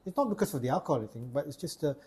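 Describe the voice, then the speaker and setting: A Malaysian-accented man from Sarawak talks through a relaxed podcast conversation. His voice is husky and dark, and he often stumbles and repeats words as he gathers his thoughts. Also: a little high, racing. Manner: hesitant, repeats words while searching for phrasing. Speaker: podcast host. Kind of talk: casual chat.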